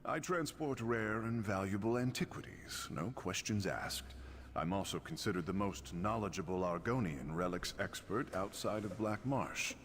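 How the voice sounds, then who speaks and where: An actor voicing a suave, roguish reptilian smuggler merchant in a video game, speaking with flowery, wry courtesy. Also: male voice, lowish voice, moderate pace, a bit bright, in a large hall, slight background noise, natural voice. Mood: suave, welcoming. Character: suave, roguish male Argonian lizard-man smuggler merchant. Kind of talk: video game character dialogue.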